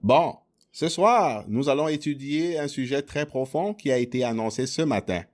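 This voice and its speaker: A man interpreting a sermon as a voice-over. He speaks in a measured, plain way. His pitch sits in a middle range. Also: lively intonation, a little mellow.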